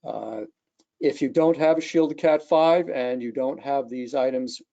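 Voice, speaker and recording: A man's voice: medium pitch, measured pace, gravelly, mellow. A man presenting as an engineer in a webinar, talking through technical details unscripted over an online call. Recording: dull, lo-fi webcast audio.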